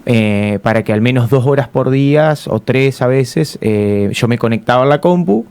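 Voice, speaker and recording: A man's voice: medium pitch, moderate pace, a little mellow, clean tone. A man with an Argentine accent, casually recounting his own experience as a guest in an interview. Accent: Argentine Spanish.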